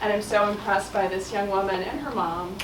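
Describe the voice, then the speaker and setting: A woman's voice: medium pitch, fairly even intonation, brisk, heavy background noise. A middle-aged woman giving a conversational public talk with frequent fillers, heard without a mic from across a room. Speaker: speaker. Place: auditorium. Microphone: no mic, room pickup from afar. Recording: echoey hall from far off.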